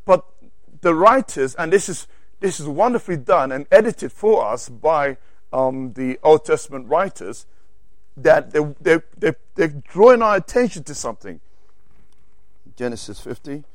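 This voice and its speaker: Animated preacher with an accent. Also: male voice, on the high side, moderate pace, dry recording. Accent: non-native English. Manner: animated, reads scripture aloud, self-correcting asides. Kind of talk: sermon teaching from scripture.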